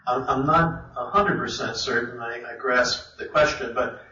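A man's voice: mid-range voice, normal speed, pushed and bright, slight background noise. A man on a Bible study panel answering a question hesitantly, with many fillers and restarts. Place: meeting hall. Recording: roomy, distant, dull sound.

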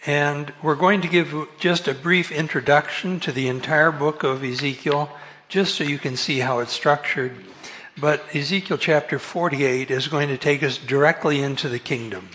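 A soft-spoken man giving a relaxed Bible study talk over a microphone in a meeting hall, correcting himself and chuckling now and then. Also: a little high, normal speed, slight background noise. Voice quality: soft-voiced. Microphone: amplified microphone.

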